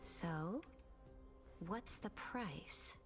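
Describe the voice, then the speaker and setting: A haughty, swooping-voiced young empress. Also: female voice, fairly low pitch, expressive, soft background music. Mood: cool, decisive. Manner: regal, measured, haughty. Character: imperious, amused young empress, proud and commanding. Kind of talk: dramatic video game voice acting.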